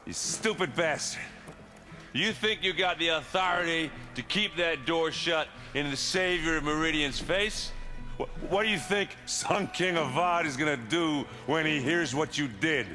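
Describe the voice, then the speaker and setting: Brash, higher-voiced young warrior. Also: male voice, a little slow, sharp tone, faint music behind. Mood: angry, mocking. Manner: casual, wry, brash. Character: easygoing, bold young male warrior, loyal friend. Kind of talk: naturalistic video game acting.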